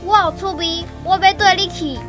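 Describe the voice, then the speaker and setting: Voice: extremely high pitch, fairly even intonation, moderate pace, fairly bright tone, faint music behind. A performer voicing an eager, cheeky young country boy in a Taiwanese radio drama.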